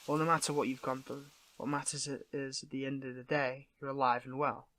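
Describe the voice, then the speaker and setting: A man playing a conflicted, tender superhero in an intimate audio roleplay, with a gravelly voice and halting, earnest, emotional delivery. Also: mid-range voice, little pitch movement, bright, forceful tone, a little hiss or hum, lightly acted. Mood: tender, relieved.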